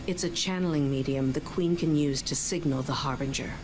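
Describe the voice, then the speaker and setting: Actress as low-voiced composed mission advisor. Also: female voice, over music, natural voice. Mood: composed, businesslike. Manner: measured, explanatory. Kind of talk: video game mission briefing.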